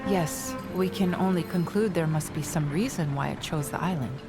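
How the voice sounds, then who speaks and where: A woman's voice: low voice, normal speed, over loud music. An actress playing a composed, scholarly woman researcher in a video game, speaking in a formal, analytical way. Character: composed, scholarly female sensei who studies monsters. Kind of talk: video game cutscene voice acting.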